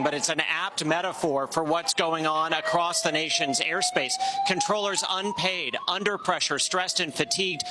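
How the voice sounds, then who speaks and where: A male news reporter delivers a broadcast report in a somewhat high register. His phrasing is emphatic and clipped, and there is clear ambient noise around him. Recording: clear background noise on location.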